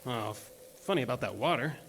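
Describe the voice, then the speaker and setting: A man's voice: mid-range voice, expressive, fast talker, fairly bright tone, natural voice. Jittery, stammering geologist, played by a man. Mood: uneasy, puzzled. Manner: hesitant, stammering, repeats words. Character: skinny, jittery, weary male oil-field geologist. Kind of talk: horror audio drama dialogue. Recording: noisy track with ambient sound.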